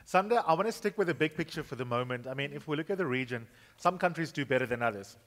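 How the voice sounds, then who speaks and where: A man's voice: a little high, animated, fast talker, fairly bright tone. Man moderating a conference panel. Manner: poised, frames questions at length. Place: conference hall. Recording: reverberant conference hall.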